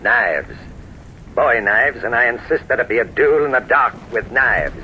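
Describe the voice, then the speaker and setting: A dull, faintly noisy vintage radio recording carries a man acting as an imperious, easily offended gentleman. He speaks formally and haughtily, snapping out curt commands in an old radio-play style. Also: medium pitch, animated, unhurried, lightly acted. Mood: imperious, irritated. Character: touchy, imperious stranger demanding a knife duel.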